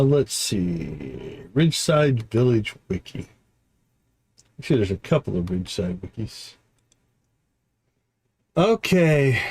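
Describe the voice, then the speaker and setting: A man's voice: lowish voice, darkish tone, no echo. A man livestreaming a video game, casually thinking aloud as he plays. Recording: clear background noise.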